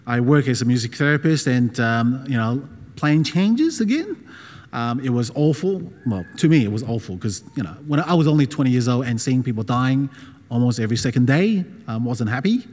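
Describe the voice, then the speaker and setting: A man's voice: medium pitch, a little mellow. A middle-aged man from Taiwan who moved to Australia as a child, a non-native English speaker, preaching informally and hesitantly as a guest. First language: not English. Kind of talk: informal personal sermon. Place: large reverberant room with a gathering. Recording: reverberant hall.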